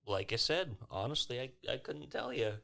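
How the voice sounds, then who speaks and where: A man playing a calm, aloof prisoner in a tabletop fantasy game, nonchalant and detached. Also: medium pitch, normal speed, gravelly, pitched above the performer's own voice. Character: calm, aloof human prisoner absorbed in a book.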